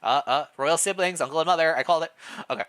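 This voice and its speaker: A man's voice: on the high side, fast, fairly bright tone. A man reading a story aloud on a live stream, mixing narration with casual commentary.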